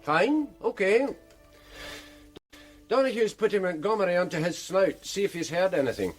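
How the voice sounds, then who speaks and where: An actor playing a tired, middle-aged detective in a radio drama, speaking in a low-key, wry way. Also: male voice, animated, moderate pace, soft background music. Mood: weary.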